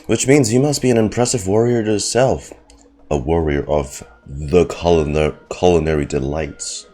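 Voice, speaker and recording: A man's voice: sits low, moderate pace, dark tone. A man giving casual, sarcastic and sweary reaction commentary as he streams a video game. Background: game music.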